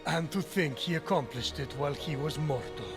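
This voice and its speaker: A man playing a wise, formal immortal who narrates Greek myths in a measured, grave manner for a video game. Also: fairly high pitch, lightly acted. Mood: wry. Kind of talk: scripted narration. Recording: music behind the voice.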